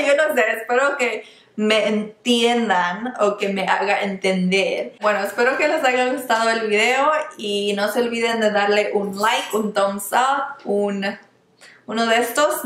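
A woman's voice: medium pitch, fairly bright tone. A young woman reviewing makeup in a casual, chatty way, often restarting words and slipping into English terms. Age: young adult. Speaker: beauty vlogger. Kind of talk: casual product review while applying makeup. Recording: echoey small room.

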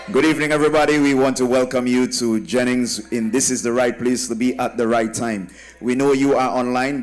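Guy in his 30s, medium pitch, church evangelist saying welcome on a handheld mic. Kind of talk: greeting a congregation. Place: tented church platform with draped backdrop. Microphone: handheld microphone.

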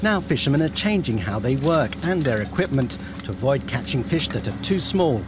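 A man's voice: mid-range voice, sing-song, moderate pace, noisy background. A man reading scripted narration as a news documentary reporter.

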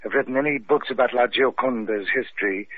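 An actor playing an eerie, devoted gaunt butler who speaks formally and reverently in an old radio mystery. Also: male voice, mid-range voice, a little fast, dry recording, natural voice. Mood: formal, brooding. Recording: thin, phone-like vintage radio recording.

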